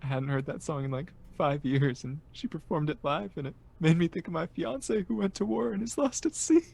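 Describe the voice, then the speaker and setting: Sensitive male college student for an actor: gravelly, slightly high, weepy, radio drama delivery. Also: a little fast, darkish tone. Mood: tearful, moved. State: tearful, sniffling. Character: Bebo, sensitive sniffly college-student superfan.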